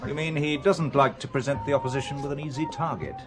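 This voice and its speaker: An actor playing a suspicious English husband turned sleuth, dry and probing, in an audio thriller. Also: male voice, medium pitch, fairly even intonation, quick pace, over music, lightly acted. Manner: dry, pointed, sceptical. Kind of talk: spy thriller audio drama acting.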